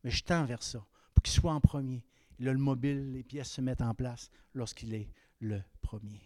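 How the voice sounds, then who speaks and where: A man in his fifties with a Québécois French accent, preaching a sermon that swings between raised exhortation and quiet, confiding asides. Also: medium pitch, steady tone, normal speed, no echo. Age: 50s.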